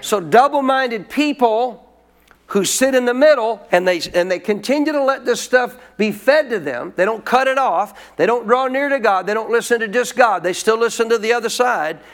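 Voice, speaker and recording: Man, high voice, pastor preaching, colloquial call-and-response teaching. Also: lively intonation, normal speed, fairly bright tone. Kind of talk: sermon teaching.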